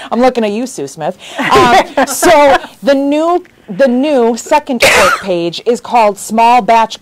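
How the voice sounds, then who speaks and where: Thirties woman, chatty shop owner on a live stream, quick to laugh. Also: fairly high pitch, a little hiss or hum, poor audio. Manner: chatty, laughs often, jumps between topics. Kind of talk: casual live stream chat. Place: indoor sewing shop studio with bags on shelves.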